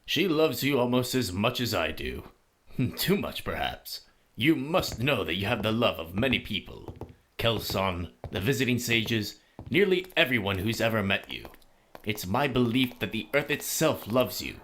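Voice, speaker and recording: Man playing a measured older mentor, low lively voice. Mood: fond. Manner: measured, formal, deliberate. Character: calm, shrewd older male mentor and sage. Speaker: teacher. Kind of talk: visual novel voice acting. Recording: music behind the voice.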